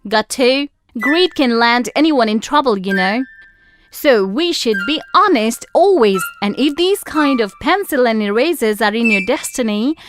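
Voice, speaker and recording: A woman voicing a gently firm, moralising mother admonishing a child in a children's animated story. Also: on the high side, unhurried, noisy background. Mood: gently scolding. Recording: music behind.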